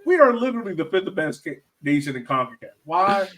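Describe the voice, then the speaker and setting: A man's voice: high voice, sing-song, a little fast, a bit bright. A man hosting a podcast interview in a casual, enthusiastic, slangy way, talking like he is chatting with a friend. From Jamaica. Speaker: podcast host interviewing a guest.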